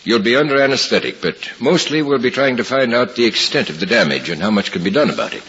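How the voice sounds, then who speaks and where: A muffled, echoing vintage radio recording carries a genial doctor. His low, animated voice is hearty and avuncular, reassuring his patients in 1950s radio play style. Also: quick pace, husky. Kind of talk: 1950s radio drama acting. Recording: hissy, muffled, reverberant old radio recording.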